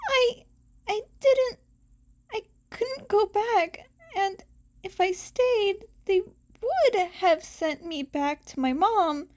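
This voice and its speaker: A woman's voice: very high voice, drawn-out words, darkish tone, voice pushed up, heavily acted. A woman voicing a nervous, guilt-ridden teenage boy in a hesitant, stammering way for an audio fan story. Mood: nervous, tearful.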